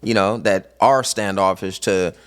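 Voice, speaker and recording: A man's voice: on the low side, fairly even intonation, a little slow, clean tone. A man hosting a casual interview chat, talking loose and slangy with lots of laughs. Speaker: podcast host.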